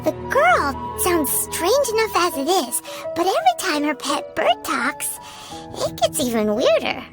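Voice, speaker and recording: Squeaky, excitable little fairy companion. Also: female voice, unhurried, exaggerated voice. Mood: baffled, blunt. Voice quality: squeaky, childlike. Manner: exclaiming, talks about self in third person. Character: tiny childlike female fairy companion, excitable and blunt. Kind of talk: video game voice acting. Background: music.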